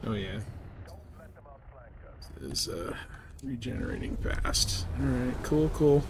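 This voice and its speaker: A man's voice: medium pitch, sing-song, slow pace, creaky, noisy background. A man giving casual, laid-back live gameplay commentary, thinking aloud as he plays. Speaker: game streamer.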